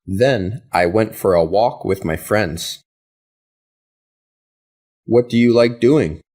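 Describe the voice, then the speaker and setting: A man reading practice questions and answers for an English lesson in a slow, deliberate way. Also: fairly low pitch, a little mellow, husky.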